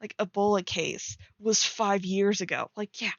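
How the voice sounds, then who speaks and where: A woman's voice: medium pitch, unhurried, vocal fry, no echo. A young woman chatting casually as she co-hosts a fan fiction reading, rambling tentatively and leaning on filler words. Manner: rambling, tentative, heavy use of "like". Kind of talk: casual chat with read-aloud passages. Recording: dry, slightly dull lo-fi mic.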